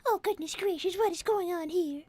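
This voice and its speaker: Very high, prim little rabbit girl. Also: normal speed, a crowd in the background. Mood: prim, disapproving. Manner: prim, fussy, genteel exclamations. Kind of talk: in-character livestream comedy.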